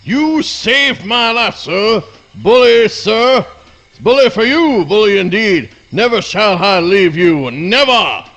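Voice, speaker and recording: High pitch, drawn-out animated delivery, florid fawning hound dog, grandiose radio play style. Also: male voice, faint music behind, full character voice. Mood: fawning devotion. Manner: florid, grandiose, repeats himself. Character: melodramatic, fawning, overeager male hound dog. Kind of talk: live radio play acting.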